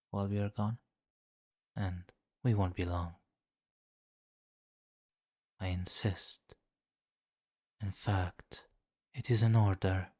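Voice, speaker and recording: A man playing a suave, commanding, centuries-old vampire king in an ASMR fantasy roleplay, speaking formally and deliberately with quiet menace. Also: sits low, no room sound, studio quality. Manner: formal, deliberate, softly imperious.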